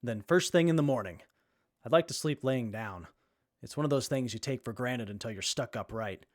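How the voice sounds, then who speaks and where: Man playing young male survivor, earnest tinkerer, brainy, average pitch, post-apocalyptic audio drama. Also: expressive, normal speed, natural voice. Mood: matter-of-fact.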